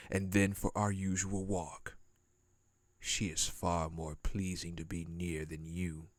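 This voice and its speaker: Man acting as a cold, slow-spoken, menacing prince. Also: low-pitched, dry recording, clean studio sound. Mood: bored disdain. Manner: contemptuous, menacing, drawn-out formal delivery. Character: cold, haughty, power-hungry prince who scorns weakness. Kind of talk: scripted dramatic audio roleplay.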